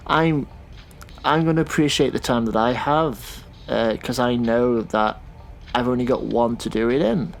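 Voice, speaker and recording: A man playing a thoughtful, sceptical taxi driver in a naturalistic audio drama, talking in a casual, halting way. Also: mid-range voice, creaky, a little hiss or hum. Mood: reflective.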